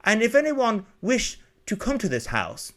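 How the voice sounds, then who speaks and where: A man's voice: sits high, huge pitch swings, unhurried, voice pushed up, heavily acted. A man voicing a prim, unflappable butler with an upper-class English accent, formal and haughty, in a story reading. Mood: prim, disdainful.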